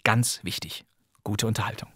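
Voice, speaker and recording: A man's voice: fairly low pitch, steady tone, moderate pace, pushed and bright, no echo. Male podcast host, very dry studio sound. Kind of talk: scripted introduction of radio plays.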